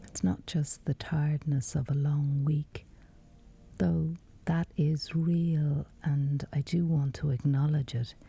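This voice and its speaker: A woman guiding a sleep meditation in a hushed, whispery, unhurried voice with long pauses, reading as scripted narration. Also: sits low, steady tone, vocal fry, slightly rough.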